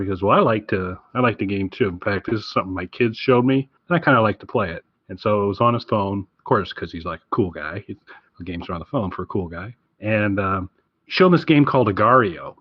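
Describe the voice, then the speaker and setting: A man with a fairly low voice hosts a casual podcast. He talks in an enthusiastic, rambling way. The sound is a little roomy and muffled. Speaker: podcast host. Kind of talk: casual recommendation chat.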